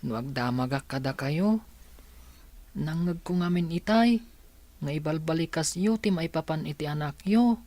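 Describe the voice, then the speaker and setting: A woman's voice: deep voice, normal speed, dark tone, close to an everyday voice. A woman with a Filipino accent playing a caring, polite young helper in a radio drama. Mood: concerned, gentle. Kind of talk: Ilocano radio drama acting.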